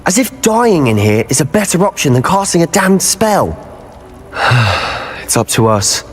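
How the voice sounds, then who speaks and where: A man's voice: a little high, sing-song, unhurried, soft background music. An actor playing a bold, bookish young male wizard student in a video game, speaking. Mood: resolute. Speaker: game character.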